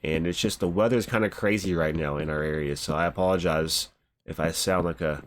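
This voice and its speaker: Stuffy-sounding low-voiced young man. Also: a little fast. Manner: laid-back, rambling, says "bro" often. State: stuffy from a cold. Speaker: gaming channel host. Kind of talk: casual gameplay commentary. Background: background music.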